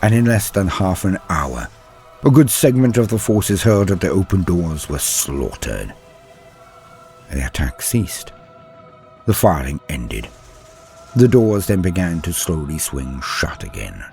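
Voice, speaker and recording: A man delivering dramatic scripted narration as a host, solemn, theatrical and grandiose, with a husky voice. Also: deep voice, big pitch swings, a little slow, a little mellow, soft background music. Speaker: host and narrator of a lore show.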